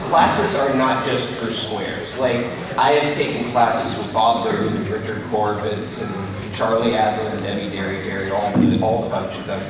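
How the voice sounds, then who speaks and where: A man's voice: lowish voice, fairly even intonation, normal speed, few pauses. Male panelist from California, casual joking ramble, reverberant hall with crowd behind. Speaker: voice actor on a convention panel. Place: large indoor convention hall. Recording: echoey hall, distant mic, audience noise. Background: crowd of convention attendees.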